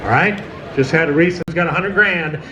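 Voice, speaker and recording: A man calling bids at an outdoor farm auction, describing the machine and then chanting numbers fast while coaxing the bidders. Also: on the high side, background noise. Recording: muffled loudspeaker over a crowd.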